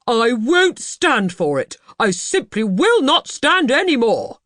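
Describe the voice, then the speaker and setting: A woman's voice: fairly high pitch, very animated, slow, a bit bright, no room sound, clean studio sound, voice pushed up, exaggerated voice. A woman voicing an irate, blustering father in an audiobook, with indignant, emphatic delivery. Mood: furious. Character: irate, blustering middle-class father, grown man.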